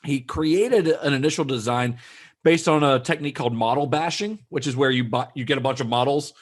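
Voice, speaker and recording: A man on a film podcast, chattily walking through background research with a lot of "like" and "I mean". His voice sits at a medium pitch with a plain, conversational delivery. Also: brisk. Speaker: podcast co-host. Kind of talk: casual film podcast chat.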